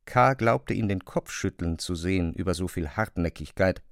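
Narrator for literary drama: man, measured and deliberate, fry in the voice, very dry acoustic. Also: deep voice, dry recording.

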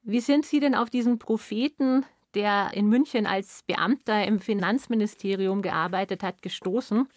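A woman's voice: mid-range voice, moderate pace, few pauses, no echo, clean studio sound. A woman moderating a radio interview, putting prepared questions in a polite, formal manner.

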